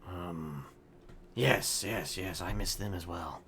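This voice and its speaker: A man improvising in a roleplay game, chatting with theatrical, drawn-out reactions. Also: low-pitched, noisy background.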